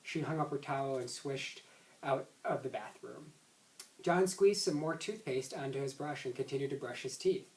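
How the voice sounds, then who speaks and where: A man's voice: mid-range voice, moderate pace, a little mellow. A man reading a children's story aloud expressively, now and then stumbling and rereading words. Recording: roomy echo, distant microphone.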